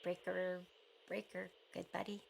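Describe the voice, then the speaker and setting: A woman playing a guarded, conflicted young woman in a naturalistic radio play, with a halting manner and some creak in her voice. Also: fairly low pitch, flat delivery, background noise. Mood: regretful, pleading. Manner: hesitant, halting, blunt when rattled.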